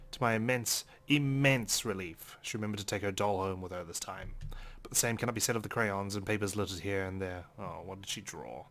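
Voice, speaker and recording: Man, low voice, dramatic narration, visual novel let's play, game music behind. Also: fairly bright tone. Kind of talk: reading a visual novel aloud.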